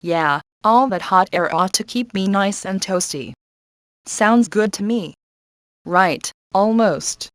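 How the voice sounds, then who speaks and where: An actress playing a confident, sassy young adventurer in a cartoon game, a wry and encouraging mission guide. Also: female voice, mid-range voice, lively intonation, slow pace, dry recording, clean studio sound. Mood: wry, practical. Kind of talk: video game character acting.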